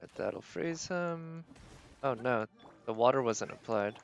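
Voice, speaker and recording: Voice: medium pitch, expressive, a little slow, lo-fi sound. A game livestream host giving casual live commentary, thinking aloud in a tentative, musing way.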